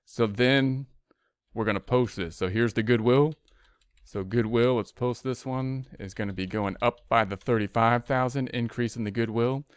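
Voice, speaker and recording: A man's voice: mid-range voice, normal speed, smooth, no room sound. A man giving an informal spreadsheet tutorial as an instructor, thinking aloud step by step and often repeating himself. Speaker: accounting instructor.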